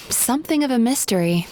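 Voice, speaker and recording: A woman voicing a calm, polite, gently resolute young female therapist in measured video game dialogue. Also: a little high, faint music behind, natural voice. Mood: resigned.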